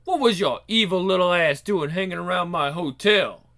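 A man's voice: high-pitched, normal speed. A man playing a crude, blustering mafia don with a sneering, menacing manner. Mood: sneering sarcasm. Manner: sneering, swearing, forceful. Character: brash male mob boss, crude, menacing, blustering.

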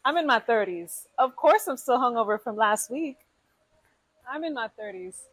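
A woman's voice: fairly high pitch, lively intonation, normal speed, a bit bright, breathy edge, dry recording, rough recording. A self-assured woman in her thirties, talking in a sassy social media skit. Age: 30s.

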